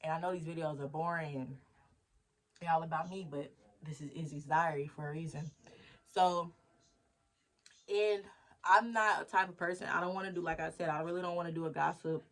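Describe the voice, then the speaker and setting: A young woman in her twenties speaks straight to her viewers in a casual diary vlog. Her voice has a gravelly, fry-touched texture. She rambles and thinks aloud, circling back to her goals and pepping herself up as she goes. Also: sits low, fairly even intonation, a little fast. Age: 20s. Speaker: vlogger.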